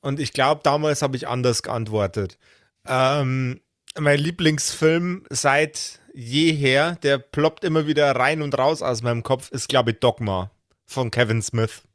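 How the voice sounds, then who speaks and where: A man in a casual podcast chat, talking hesitantly with a wry touch and drawn-out pauses. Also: medium pitch, a bit bright, dry recording, clean studio sound. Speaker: podcast regular.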